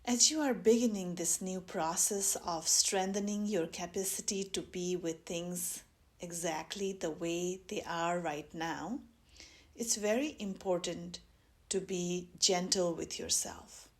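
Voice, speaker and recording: A woman with a slightly low voice leads a guided meditation. She speaks very slowly and gently, leaving long pauses between measured phrases. She sounds like a mindfulness teacher giving careful instructions.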